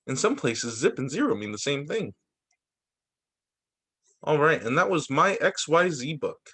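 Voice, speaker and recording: A man working as a teacher, reading storybooks aloud for young children in an expressive way with informal asides. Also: a little high, unhurried, breathy edge.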